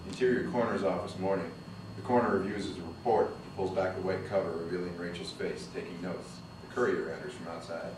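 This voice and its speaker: A man's voice: deep voice, quick pace. A man reading the stage directions aloud at a screenplay table read. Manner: steady, even reading of action lines. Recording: echoey room from far off with noise.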